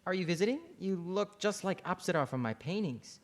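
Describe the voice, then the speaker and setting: A man's voice: sits high, lively intonation, fairly quick. A man playing a dreamy, lovestruck painter in a table read of a romantic play, with a wistful manner. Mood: friendly, eager. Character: lovestruck dreamy male painter, reincarnated ancient king.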